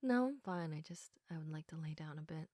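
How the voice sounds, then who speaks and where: A woman playing a sleepy, affectionate girlfriend in an intimate sleep-aid roleplay, speaking softly and reassuringly with a tendency toward giggles and whispers. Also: low-pitched, lively intonation, no echo, clean studio sound. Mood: drowsy, affectionate. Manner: soft, unhurried, often giggly, dropping into whispers. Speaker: audio roleplay performer.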